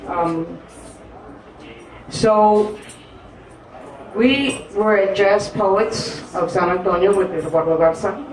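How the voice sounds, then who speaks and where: Woman, poet, earnest and halting, echoey room, heard from a distance. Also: mid-range voice, noisy background. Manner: halting, earnest, with frequent pauses and fillers. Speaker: poet and guest. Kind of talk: personal storytelling in a live interview. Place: live stage event in an echoey room.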